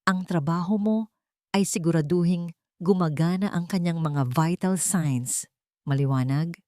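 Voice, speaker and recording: A woman voicing a stern, cold head nurse in her fifties for a narrated story, speaking firmly and without warmth. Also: fairly low pitch, measured pace, no room sound, clean studio sound, natural voice. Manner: cold, firm, commanding, no warmth.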